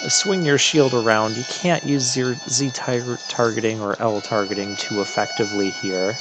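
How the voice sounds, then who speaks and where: A man's voice: medium pitch, normal speed, low-quality recording. A man giving casual commentary as he plays through a video game. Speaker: video game playthrough host. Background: video game music.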